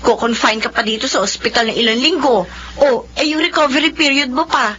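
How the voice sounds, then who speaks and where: An actress playing a hardworking company officer and daughter in an expressive radio drama style. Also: female voice, high-pitched, brisk, breathy edge. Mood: frustrated. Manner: expressive, code-switching between Filipino and English. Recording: muffled radio drama with music bed.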